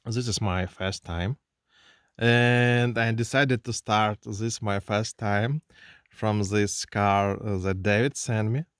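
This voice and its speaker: Man, Russian accent, second-language English, slow and halting with fillers; toy reviewer in a dry, close desk recording. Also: fairly low pitch, steady tone, clear, resonant tone, no echo. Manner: halting non-native English with many fillers. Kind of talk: hands-on unboxing review. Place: indoors at a desk.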